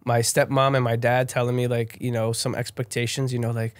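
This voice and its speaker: A man's voice: medium pitch, flat delivery, fairly quick, no echo. Rambling young Brazilian man, dry sound. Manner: rambling, many fillers and restarts. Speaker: guest. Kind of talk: casual interview chat.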